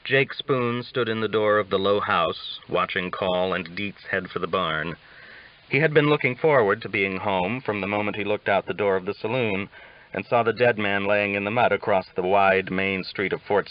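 A man narrating a novel as an audiobook reader, in a scripted storytelling style. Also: fairly low pitch. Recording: slightly dull, lo-fi audiobook recording.

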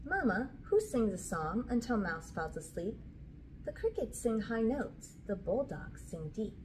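A woman's voice: a little high, sing-song, a little slow, darkish tone. Woman reading to children, noisy room. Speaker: host reading children's books aloud. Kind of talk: storybook read-aloud with casual asides. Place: small indoor room with curtain backdrop. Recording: clear background room noise.

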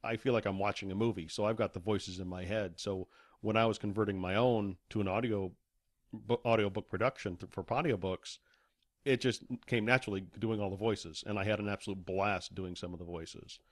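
A man's voice: on the low side, steady tone, a little fast, dry recording, studio quality. A man speaking as an interview guest, an author chatting casually about his work. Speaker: podcast guest, author and audiobook narrator.

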